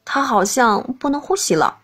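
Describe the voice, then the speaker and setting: A woman narrates an audiobook in a scripted, first-person storytelling style. Her voice sits a little higher than average for a woman. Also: expressive, normal speed, fairly bright tone, husky.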